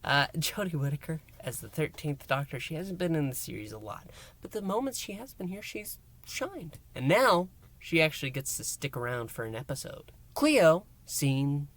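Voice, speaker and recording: A man hosting a review and recap, speaking in an emphatic, theatrical way with dramatic pauses. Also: fairly high pitch, very animated, fairly bright tone. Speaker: host, reviewer. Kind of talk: enthusiastic scripted-style recap and review to listeners.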